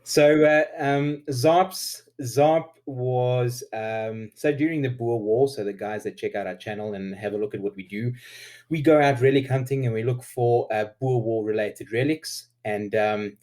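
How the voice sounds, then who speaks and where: A man's voice: medium pitch, measured pace, darkish tone. A man in his thirties with a South African accent, an Afrikaans native speaker, chatting as a laid-back interview guest. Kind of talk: casual interview chat.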